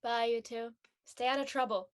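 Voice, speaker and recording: A practical young woman played by an actress speaks casually in an audio drama. Her voice sits fairly high, with a slightly wistful temper. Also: steady tone, normal speed, natural voice. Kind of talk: casual scripted dialogue.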